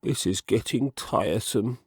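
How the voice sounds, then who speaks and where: A man voicing a fussy, genteel gentleman frog from a storybook, with deliberate, drawn-out, expressive phrasing. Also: fairly low pitch, slightly rough, no echo, clean studio sound. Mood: fussy, musing. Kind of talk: storybook character voice.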